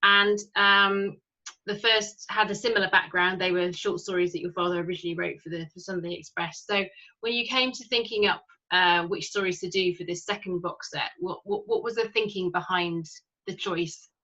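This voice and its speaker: A woman's voice: on the low side, fairly even intonation, moderate pace, bright, forceful tone. A woman asking informal interview questions, restarting them as she goes, on slightly lo-fi audio.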